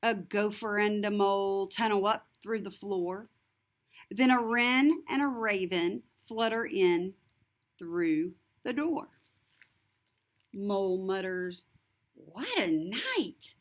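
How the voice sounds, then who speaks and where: A woman reading a rhyming picture book aloud to children, expressive and deliberate, with a sing-song lilt. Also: mid-range voice. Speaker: storybook reader. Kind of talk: scripted children's read-aloud narration.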